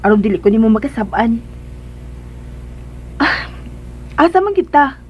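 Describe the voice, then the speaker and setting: A woman's voice: fairly high pitch, sing-song, a little slow, slight background noise. A woman acting a timid, obedient young servant in a radio drama, with a Cebuano Filipino accent. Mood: hesitant, curious. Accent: Filipino, Cebuano-speaking. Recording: dull band-limited audio, loud music behind.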